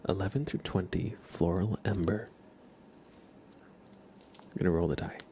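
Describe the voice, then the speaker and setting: A man chatting softly as an ASMR livestream host, hushed and often slipping toward a whisper. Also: low-pitched, measured pace, slight background noise. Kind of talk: soft-spoken ASMR livestream chat.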